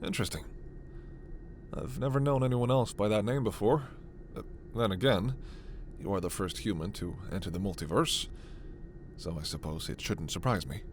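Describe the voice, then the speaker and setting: A man playing a gentle, scholarly, protective alien doctor in a sci-fi ASMR roleplay, speaking in a measured, formal, precise way. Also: fairly low pitch, slight background noise, close to an everyday voice. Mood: polite, thoughtful.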